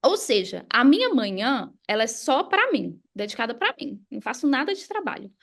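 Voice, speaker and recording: A woman's voice: on the high side, big pitch swings, normal speed, creaky, a bit bright. A Brazilian woman speaking as a podcast guest and coach, explaining things in a casual conversational way. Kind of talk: casual explanatory interview talk.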